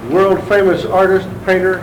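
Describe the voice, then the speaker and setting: A relaxed man, a professor, introduces a guest to his students and jokes with them. His voice is a little high and heard from across an echoey room, with clear background noise. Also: moderate pace, recorded from a distance. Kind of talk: casual classroom introduction. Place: university classroom.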